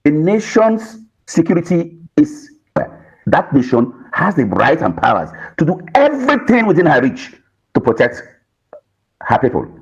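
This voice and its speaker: High, animated, husky Nigerian man. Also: measured pace, highs cut off. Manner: emphatic, repetitive, with rhetorical questions. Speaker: panel guest on a political TV talk show. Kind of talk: political panel argument.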